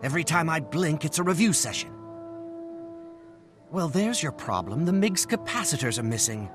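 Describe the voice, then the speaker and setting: Pompous, high-pitched little fantasy inventor. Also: male voice, very animated, normal speed, music in the background. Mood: confident, dry. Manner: pompous, declamatory, wordy. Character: ambitious male asura inventor, proud and self-assured. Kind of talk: scripted game cutscene acting.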